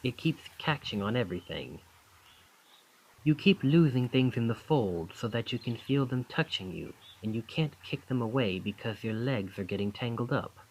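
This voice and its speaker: Charming, lively young male student, acted by a man. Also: mid-range voice, moderate pace, slight background noise, natural voice, low-quality recording. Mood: musing, intense.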